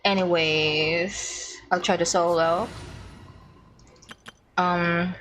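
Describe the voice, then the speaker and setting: A woman streaming a game, chatting casually and muttering to herself in hesitant, drawn-out phrases. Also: lowish voice, fairly even intonation, fairly bright tone. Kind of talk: casual live gameplay chat. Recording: background noise.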